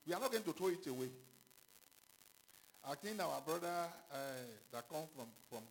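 A man preaching a sermon, halting and repetitive, with questions to the congregation. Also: a little high, expressive, gravelly, slight background noise, low-quality recording. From Ghana. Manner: halting, repeats himself, calls out questions to the congregation. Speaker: pastor.

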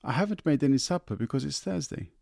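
A man voicing a devoted, anxious housewife who fusses softly and eagerly over her husband. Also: a little high, expressive, fast talker, creaky, dry recording, clean studio sound, voice pushed up. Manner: soft, eager, fussing.

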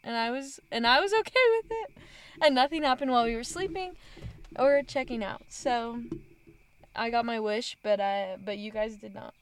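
A woman's voice: fairly high pitch, normal speed, a little hiss or hum. Chipper woman with a Utah accent. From Utah, USA. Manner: animated storyteller, laughs often, chipper and bubbly. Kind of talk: casual chat.

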